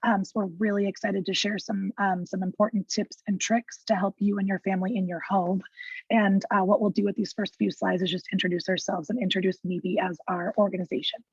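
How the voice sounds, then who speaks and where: A woman with a scratchy, hoarse voice, introducing a webinar as a clinical director with many ums and uhs. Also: medium pitch, flat delivery, a little fast, no echo, muffled recording. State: hoarse, lost voice. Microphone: video call.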